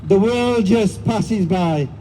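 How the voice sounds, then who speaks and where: A man's voice: high-pitched, slow pace, warm tone, a little hiss or hum, low-quality recording. A man at an outdoor street rally who reads out a heartfelt poem after a few words to the crowd. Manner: plain, heartfelt recitation with fillers. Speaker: rally speaker reading a poem. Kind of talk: reading a poem at a street protest.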